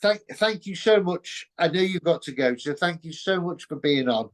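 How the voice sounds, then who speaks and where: A man's voice: fairly high pitch, expressive, normal speed. A man hosting a casual interview, speaking politely and hesitantly. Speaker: host.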